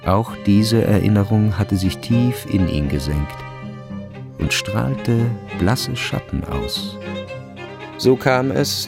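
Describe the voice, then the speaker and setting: A man narrating a literary radio play in a measured, brooding, deliberate way, over music. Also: sits low, vocal fry. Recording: music behind the voice. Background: string music.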